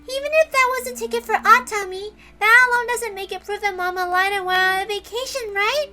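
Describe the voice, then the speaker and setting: Visual novel little girl: tiny high bright voice, earnest, serene, patiently lecturing optimist. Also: soft background music. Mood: calm, puzzled. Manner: measured, reasoning, gently lecturing. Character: serene nine-year-old girl, earnest, gently preachy, unshakably optimistic. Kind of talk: dramatic reading of a visual novel.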